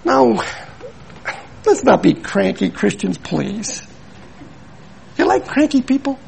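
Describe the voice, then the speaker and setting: A man's voice: sits high, animated, takes it slowly, vocal fry, a little mellow, a little hiss or hum. An older man from Milwaukee preaching a sermon in an earnest, emphatic way, repeating his points and telling stories. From Milwaukee, USA.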